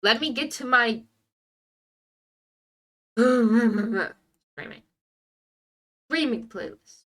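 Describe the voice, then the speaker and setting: A woman's voice: on the high side, drawn-out words, fairly bright tone. A young woman with a congested nose chatting casually on a game stream, drifting into muttered asides to herself. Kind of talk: casual live stream chat while playing a game.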